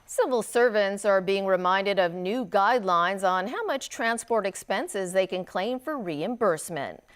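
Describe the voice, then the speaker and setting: A woman's voice: medium pitch, expressive, a little slow, clean tone. A woman anchoring the news, reading a scripted introduction.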